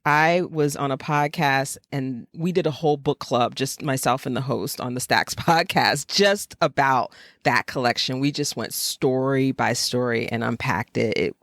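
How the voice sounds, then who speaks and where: A woman's voice: rumbling low, measured pace, few pauses, a bit bright, no room sound, clean studio sound. A woman co-hosting a literary podcast, talking casually in an earnest, emphatic way. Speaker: podcast co-host and author.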